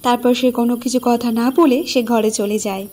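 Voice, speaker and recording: A woman narrating a cartoon story and voicing a mother in an earnest, gentle way. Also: on the high side, fairly even intonation, moderate pace, slight background noise.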